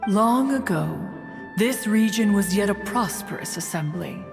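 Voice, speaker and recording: A proud, haughty female crane spirit with a stately, pompous manner, who calls herself "one". Her mid-pitched voice rings in a long reverb, with faint game music under it. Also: animated, measured pace, gravelly. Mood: reminiscing. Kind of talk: video game voice acting.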